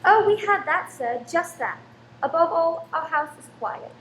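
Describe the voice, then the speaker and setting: A woman's voice: extremely high pitch, expressive, measured pace, fairly bright tone, exaggerated voice. An actress in a radio play playing a deferential married landlady who is polite, eager and anxious to please. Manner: servile, polite, flustered when rebuked. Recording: roomy echo with faint background noise.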